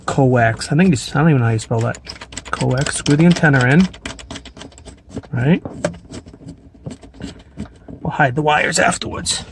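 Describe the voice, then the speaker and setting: A man's voice: mid-range voice, measured pace, pauses often. A man, a trucker hosting a casual, plain-spoken step-by-step demonstration. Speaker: trucker and vlog host. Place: inside a truck cab. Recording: noisy truck cab with clicks.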